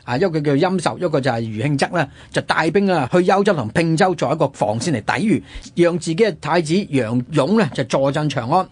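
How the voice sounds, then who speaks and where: Hong kong cantonese guy, highish husky voice, telling history like a storyteller, throws out rhetorical questions. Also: a little fast, few pauses, dry recording. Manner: animated, asks rhetorical questions. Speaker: radio history programme host. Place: radio studio.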